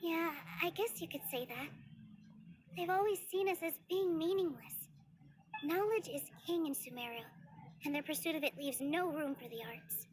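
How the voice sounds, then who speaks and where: A woman playing a gentle, earnest young female theater performer in a video game. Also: very high-pitched, expressive, normal speed, background noise. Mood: thoughtful, worried.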